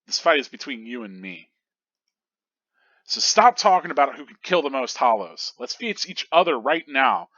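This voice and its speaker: A man voicing a brash, gruff teenage boy hero who talks in a curt, irritable way. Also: mid-range voice, big pitch swings, normal speed, a bit bright, no echo, muffled recording, voice pushed up. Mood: challenging.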